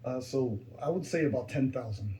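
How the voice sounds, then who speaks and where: A man with a mellow voice gives plain, factual answers to commissioners. He is a fire captain who has presented a program. The room is echoey, with faint background ambience. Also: medium pitch, expressive, fairly quick, slight background noise. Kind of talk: answering questions at a public meeting.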